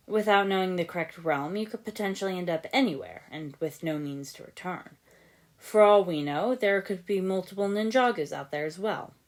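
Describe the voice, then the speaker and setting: Lady reading as wise old sensei guy, measured n formal, cryptic, audiobook story. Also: lowish voice, voice pushed up. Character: calm, cryptic old male martial arts master. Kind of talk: fan fiction audiobook character voice.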